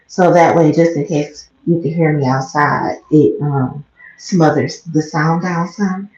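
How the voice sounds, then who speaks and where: An American woman with a slightly raspy voice, talking quietly and casually in a rambling vlog, recorded on a headset mic in a small bathroom. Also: measured pace. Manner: low-key, talking quietly. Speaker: vlogger livestreamer. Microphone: headset mic close to mouth. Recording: muffled, echoey small room.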